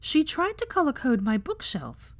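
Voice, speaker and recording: Woman voicing an earnest young woman, lively pitch. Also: moderate pace, heavily acted. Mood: exasperated amusement. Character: no-nonsense, kindly young woman teacher. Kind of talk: fan fiction audiobook character voice.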